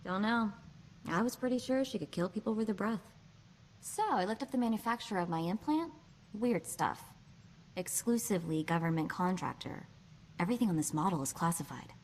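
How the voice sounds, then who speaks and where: A woman's voice: medium pitch, a little fast, slight background noise, natural voice. A woman voicing a plucky, street-smart young slicer in a video game, wry and breezy, with clipped slangy talk. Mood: intrigued.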